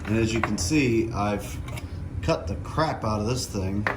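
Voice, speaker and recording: A man's voice: fairly low pitch, background noise. A middle-aged man giving a casual hands-on tutorial, explaining things step by step and checking in as he goes. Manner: explanatory, often checking with "okay?". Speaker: DIY vlogger.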